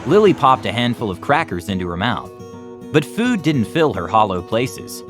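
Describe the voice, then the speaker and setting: Expressive man reading a storybook over music. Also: medium pitch, moderate pace. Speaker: storytime reader, music club teacher. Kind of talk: expressive children's storybook narration.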